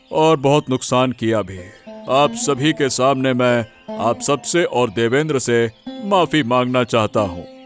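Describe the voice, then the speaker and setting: A man voicing a repentant middle-aged village headman in a cartoon, speaking in a deliberate, formal way. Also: medium pitch, lightly acted. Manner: deliberate, formal and addressing a crowd. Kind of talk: Hindi cartoon dubbing.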